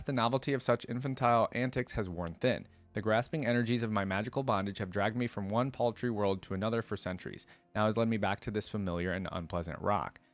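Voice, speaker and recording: A man's voice: lowish voice, no echo. A man giving reflective reaction commentary and reading game lore aloud in a casual style.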